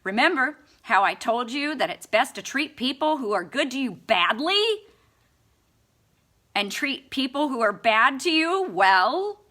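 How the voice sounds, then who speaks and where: Bright-voiced woman reading with slow sarcasm. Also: high-pitched, big pitch swings. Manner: sarcastic, mock-earnest. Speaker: amateur audiobook reader. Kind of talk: expressive scripted book reading.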